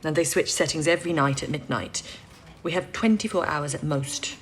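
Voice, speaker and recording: A woman acting in an audio drama as a steady, encouraging, experienced wartime codebreaker who reassures and explains patiently. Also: deep voice, normal speed, faint music behind, close to an everyday voice. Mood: patient, explaining.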